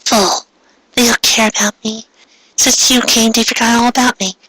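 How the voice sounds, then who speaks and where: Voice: medium pitch, flat delivery, moderate pace, pushed and bright, slightly rough, no echo, voice pushed up, low-quality recording. A man voicing a vengeful teenage girl villain in a melodramatic, bitter rant. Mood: bitter, accusing.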